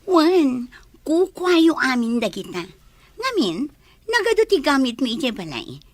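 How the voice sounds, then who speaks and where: A woman playing an earnest, generous young Filipino bride with an Ilocano accent and a husky voice in a radio drama. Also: high-pitched, sing-song, a little slow, no echo. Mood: kindly. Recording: music playing behind the voice.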